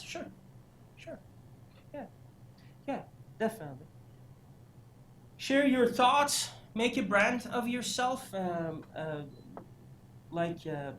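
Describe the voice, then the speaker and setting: A man raised in Iran giving a career workshop lecture, halting with many fillers and restarts, breaking into animated asides. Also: sits high. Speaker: career development instructor. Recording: echoey room, faint noise.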